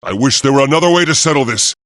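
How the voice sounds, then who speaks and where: A man's voice: mid-range voice, lively intonation, racing, a bit bright, dry recording, studio quality, natural voice. A man voicing a proud, noble robot leader in a video game, with a formal, declamatory and grandiose delivery. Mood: solemn, regretful. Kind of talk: theatrical video game voice acting.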